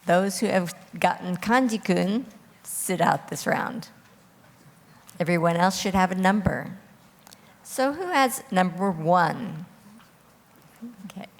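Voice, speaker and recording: A woman moderating a formal quiz competition, giving clear, orderly instructions. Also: on the low side, slow, long pauses. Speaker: quiz host reading rules and judging calls. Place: large hall at a live competition. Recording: echoey hall with long reverb.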